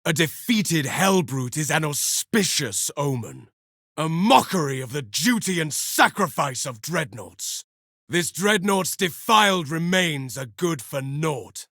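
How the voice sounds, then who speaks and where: A grim armoured warrior from a video game, zealous and scornful. He declaims in a slow, bright, dry-recorded voice with archaic phrasing. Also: on the high side, expressive, no echo, full character voice. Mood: grim contempt. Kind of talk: video game battle barks.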